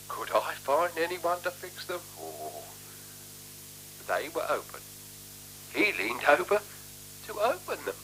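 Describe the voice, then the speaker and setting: Cowardly underling, 1970s radio drama; slow and groaning, self-excusing, very animated pitch, hummy old recording. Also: male voice, slight background noise, full character voice, poor audio. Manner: stammering, groaning, plaintive. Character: whining, cowardly, self-excusing man pleading under threat.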